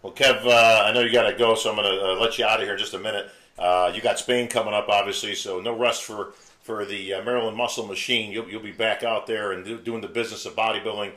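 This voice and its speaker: American male host, low-pitched, chummy, laughs easily, echoey room. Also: steady tone, quick pace, sharp tone. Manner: friendly, chummy, laughs often. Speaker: podcast host. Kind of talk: casual interview chat.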